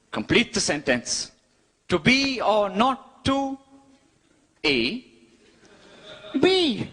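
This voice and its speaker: High-pitched Indian comedian, animated man. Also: slight background noise. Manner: cheeky, wry delivery with pauses for punchlines. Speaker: stand-up comedian. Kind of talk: stand-up comedy routine. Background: audience laughter.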